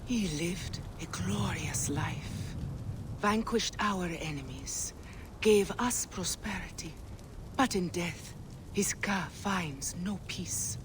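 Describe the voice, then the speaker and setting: Ghost queen from a game, breathy whispery, slow, lots of noise behind. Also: on the low side. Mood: whispering. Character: ghostly queen spirit, regal, wistful. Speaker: game character. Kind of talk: video game cutscene acting.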